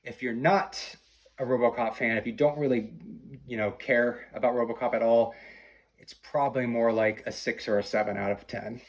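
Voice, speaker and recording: A man chatting casually as a podcast guest reviewing a video game, often repeating words as he explains. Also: medium pitch.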